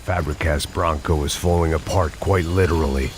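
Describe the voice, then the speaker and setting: A man playing a bitter, burnt-out middle-aged bodyguard and ex-cop in a video game, narrating in a deadpan, sardonic noir style. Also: rumbling low, moderate pace, noisy background. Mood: grim, sardonic. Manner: world-weary, deadpan, wry. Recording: loud music over the voice.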